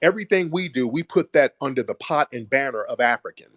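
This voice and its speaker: A man hosting a heated call-in debate, lecturing forcefully and repeating his points for emphasis. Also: medium pitch, lively intonation, quick pace, no room sound, clean studio sound. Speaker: host.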